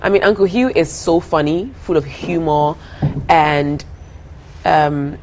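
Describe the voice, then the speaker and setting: A woman's voice: low-pitched, slow, darkish tone, a little hiss or hum, low-quality recording. A young woman from Ghana, a singer being interviewed, talking earnestly, often repeating her words and laughing easily. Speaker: singer guest. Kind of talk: casual interview talk.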